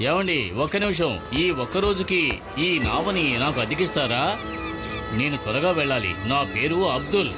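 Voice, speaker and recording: A man's voice: on the high side, animated, fairly quick, muffled recording, music in the background, lightly acted. A man voicing a seasoned sea traveller in a cartoon, speaking in an earnest, assured way. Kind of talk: dubbed cartoon acting.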